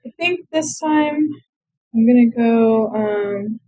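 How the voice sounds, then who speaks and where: A young woman casually commenting on her own strategy game play, chatty and quick to laugh at herself. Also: on the high side, mellow, dry recording, highs cut off. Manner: chatty, self-deprecating, laughs often. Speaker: let's play gamer.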